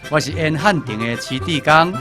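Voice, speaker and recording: A man's voice: on the high side, moderate pace. A man with a Taiwanese accent, a voice actor, reading a scripted announcement to radio listeners. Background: music.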